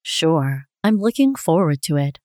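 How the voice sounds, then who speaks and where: A woman reading her parts in a scripted dialogue for a language listening test, clear and expressive. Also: low voice, unhurried, dry recording, studio quality.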